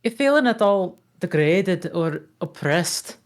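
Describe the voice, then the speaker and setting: Woman as a slow, low-voiced gruff ogre. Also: animated. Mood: sly, bluffing. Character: gruff, cocky male ogre, blunt and sly. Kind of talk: comic table read of an animated film script.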